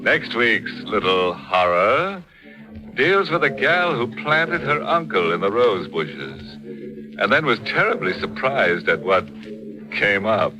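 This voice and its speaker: An actor playing a ghoulish horror host of 1940s radio, drawling sly macabre jokes with mock solemnity. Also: male voice, on the low side, poor audio. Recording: old radio recording, music behind.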